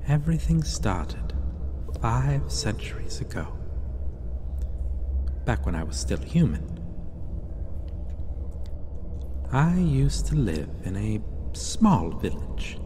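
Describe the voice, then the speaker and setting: A man voicing a possessive, centuries-old male vampire who keeps a captive, talking softly and controllingly, sometimes in whispers, in an ASMR roleplay. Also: lowish voice, big pitch swings, slow pace, long pauses, big-room sound, slight background noise. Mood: reflective. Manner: soft, doting and controlling, drops into whispers.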